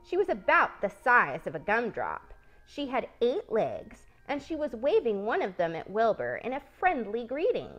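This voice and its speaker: A woman reading a children's book aloud as an expressive storyteller. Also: a little high, moderate pace, soft background music. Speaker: storybook reader, channel host. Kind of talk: expressive scripted story narration.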